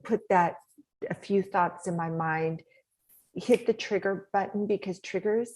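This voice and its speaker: A middle-aged woman with a soft voice, talking as a podcast guest in an animated, coaching way, laughing often. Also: mid-range voice, measured pace. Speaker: guest, author and speaker. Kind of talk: podcast interview talk.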